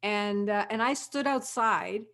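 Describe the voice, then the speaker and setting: A woman's voice: medium pitch, a little slow, fairly bright tone. A woman in an audio drama cast, telling anecdotes in casual group chat.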